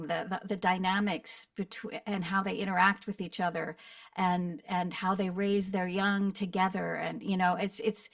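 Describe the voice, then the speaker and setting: A woman's voice: lowish voice, no room sound, clean studio sound, distant mic. A middle-aged woman, a voice actor, talking casually on a video call, rambling with restarts and laughs. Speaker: narrator and voice actor guest. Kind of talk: casual online panel chat.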